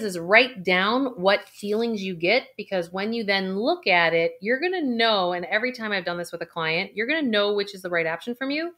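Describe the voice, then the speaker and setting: Woman, intuition coach, talks viewers through breathing steps, calm and unhurried, mid-pitched, recorded for video. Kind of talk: guided exercise for viewers.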